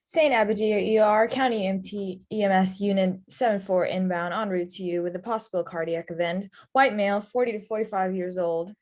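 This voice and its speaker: A woman's voice: mid-range voice, fairly quick, few pauses, a little mellow, natural voice. A woman acting a blunt, sardonic young paramedic in a rehearsed table read. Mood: businesslike.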